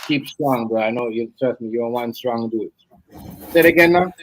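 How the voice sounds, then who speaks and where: A man's voice: mid-range voice, moderate pace, a little hiss or hum, rough recording. A man in a casual chat, affable and slangy, often repeating his phrases.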